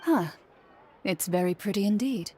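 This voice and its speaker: A woman's voice: mid-range voice, animated, normal speed, heavy background noise, natural voice. A woman voicing a composed, dutiful female bodyguard in a video game, formal and matter-of-fact. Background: game music.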